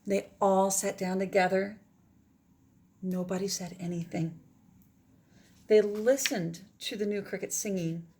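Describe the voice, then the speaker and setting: A woman's voice: mid-range voice, fairly even intonation, measured pace, long pauses, dark tone, slight background noise. A woman teacher reading a children's storybook aloud in a dramatic manner, often dropping toward a whisper.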